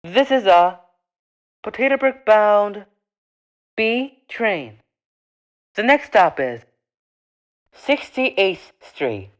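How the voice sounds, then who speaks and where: A woman reading scripted train stop announcements as a transit announcer, in measured, formulaic, separate phrases. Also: mid-range voice, sing-song.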